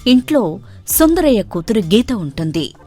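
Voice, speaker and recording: A woman's voice: mid-range voice, sing-song, moderate pace, mellow, soft background music. A woman narrating a scripted moral story as its storyteller.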